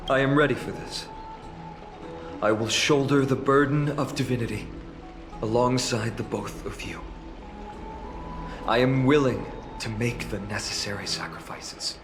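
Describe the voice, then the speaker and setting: An actor playing an earnest, stoic young hero, humble and sincere, in a video game drama. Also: male voice, medium pitch, lightly acted. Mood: solemn resolve. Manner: slow, deliberate, sincere. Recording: echoey, over background music.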